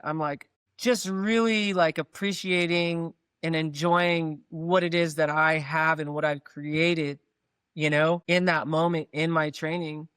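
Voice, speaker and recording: A middle-aged man, a guest dancer, talking in a relaxed, thoughtful way with lots of "like" and "you know". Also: a little high, clear, resonant tone, dry recording, studio quality. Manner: relaxed, thoughtful, uses "like" a lot. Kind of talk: reflective interview talk.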